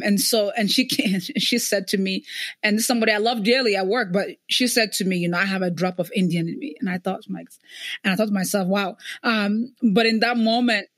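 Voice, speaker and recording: Female podcast host, medium pitch, conversational and reflective, often tagging points with a question, very little room sound. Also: no room sound, clean studio sound. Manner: reflective, punctuates points with "right?". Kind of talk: conversational interview, personal anecdote.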